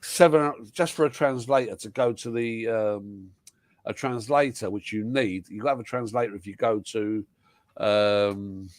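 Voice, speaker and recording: A man's voice: fairly low pitch, unhurried, no room sound, clean studio sound. A man hosting a live panel chat, talking casually with lots of restarts and repeated yeahs. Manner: rambling, many restarts and yeahs. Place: home in Thailand.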